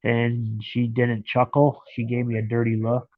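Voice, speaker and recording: A man's voice: mid-range voice, monotone, a little slow, no room sound. Stuffed-up man chatting on a phone stream. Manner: rambling, chatty, talks to viewers by name. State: stuffed-up nose. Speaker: live streamer chatting with viewers. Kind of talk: casual live stream chat. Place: in bed at home. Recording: dry, phone-like lo-fi sound.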